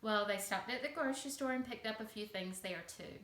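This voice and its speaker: A woman telling a children's story in simple, expressive phrases for young listeners. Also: medium pitch, fairly quick. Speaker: preschool teacher telling a bedtime story. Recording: echoey room.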